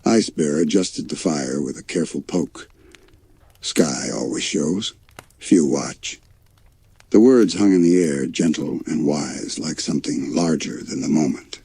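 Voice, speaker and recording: A man reading a bedtime story in a gentle, measured, soothing way. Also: a bass voice, slight background noise.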